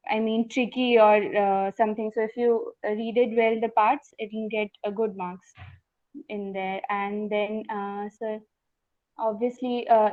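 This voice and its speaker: A woman's voice: fairly high pitch, no room sound. A young woman answering interview questions over a call, hesitant with many fillers and polite to the interviewer. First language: not Hindi. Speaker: interview guest, successful exam candidate. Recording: dry tinny phone-like line.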